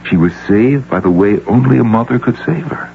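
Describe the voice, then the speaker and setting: An actor playing a tender, persuasive middle-aged rich suitor in an old-time radio drama. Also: male voice, mid-range voice, expressive, fairly quick, gravelly. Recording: muffled, lightly noisy radio broadcast tape.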